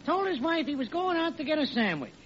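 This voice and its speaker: Droll sandwich guy, real high sing-songy voice, old radio comedy, muffled. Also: big pitch swings, fairly quick, fairly bright tone, slight background noise, full character voice. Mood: droll, wry. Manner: droll storytelling. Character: droll, slow-to-serve station sandwich man, runaway husband.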